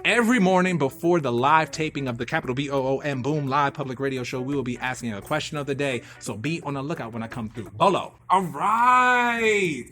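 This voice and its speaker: A man's voice: mid-range voice, lively intonation, fairly quick, hardly any pauses, pushed and bright. A man hosting a live radio show with playful, slangy, repetitive banter.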